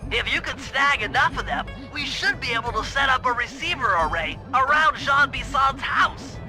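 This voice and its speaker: A man's voice: extremely high pitch, expressive, normal speed, sharp tone, soft background music, exaggerated voice, poor audio. A man voicing a brainy, nerdy mission planner in a video game, with precise, wordy, formal phrasing. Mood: businesslike, urgent.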